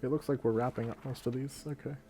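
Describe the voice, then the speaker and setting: A man's voice: medium pitch, fairly even intonation, quick pace, dark tone. A man doing casual let's play commentary on a video game. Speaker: gaming streamer.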